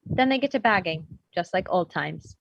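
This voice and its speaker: A woman's voice: fairly low pitch, animated, normal speed, creaky, dry recording, muffled recording. Dry-sounding woman reading stage directions. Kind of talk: scripted narration at a table read.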